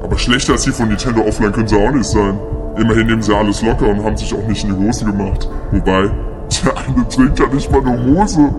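A man's voice: lowish voice, quick pace, natural voice. A man playing a mysterious unseen summoner in a comedic audio drama, solemn in his announcements but prone to exasperated grumbling. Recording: music behind the voice.

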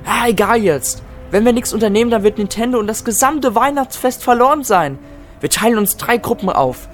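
A man's voice: extremely high pitch, lively intonation, brisk, soft background music. A man playing a frazzled team leader giving out orders in an amateur comic audio drama. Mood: urgent. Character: frazzled male team leader organizing a rescue. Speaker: team organizer.

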